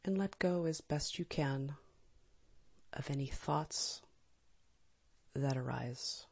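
A woman leading a guided meditation in a calm, gentle, soft and breathy manner, with long pauses. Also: deep voice. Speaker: meditation teacher. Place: live small group session.

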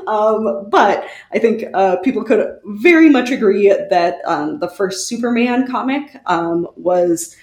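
A woman co-hosting a podcast, presenting researched history in a conversational, wry and animated way with giggly asides. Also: medium pitch, slow pace, few pauses, reverberant. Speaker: podcast co-host.

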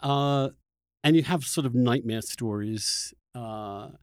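Halting male interviewer in a dry recording. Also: medium pitch, no room sound. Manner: halting, stammering over word starts, searching for words. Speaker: podcast host and interviewer. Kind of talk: reflective conversational interview.

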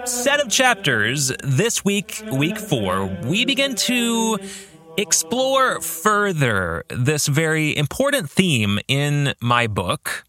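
A man hosting a podcast, reading scripted narration and book-club reflections with emphatic, deliberate phrasing. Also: on the high side, wildly swooping pitch, music in the background. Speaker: podcast host and author.

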